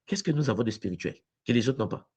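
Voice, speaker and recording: Animated man pressing questions. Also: medium pitch, fast, breathy edge. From Congo. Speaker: university lecturer. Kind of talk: debate-style discussion.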